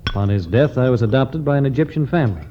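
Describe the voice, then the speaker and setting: An actor playing a suave young expatriate assistant in 1950s radio style, polite and formal. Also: male voice, medium pitch, lively intonation, quick pace, close to an everyday voice. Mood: smooth, courteous. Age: young adult. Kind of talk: 1950s radio adventure acting. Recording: dull, noisy 1950s radio recording.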